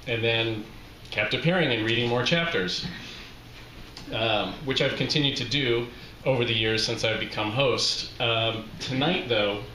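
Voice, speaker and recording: Middle-aged American male, wry live storyteller, forceful bright tone, roomy venue with faint noise. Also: lowish voice. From Utah, USA. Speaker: reading series host, memoirist. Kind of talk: live reading of a personal essay, with spoken introduction. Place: small indoor bar room with brick walls. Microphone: handheld-style microphone on stand, close.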